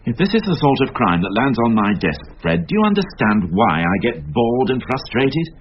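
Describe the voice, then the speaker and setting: A man acting an exasperated, short-tempered police inspector in a radio crime drama. His delivery is brusque and sarcastic, swinging very widely in pitch. The sound is dull, with some background noise. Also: big pitch swings, normal speed, lightly acted. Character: irascible, exasperated police inspector barking at subordinates.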